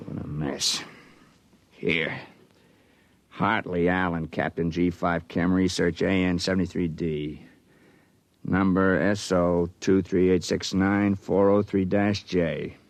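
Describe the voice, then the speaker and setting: An actor playing a blunt, rough-spoken American army medic sergeant, grim and folksy, in a 1950s radio drama. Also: male voice, sits low, level tone, takes it slowly, dry recording. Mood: grim, weary.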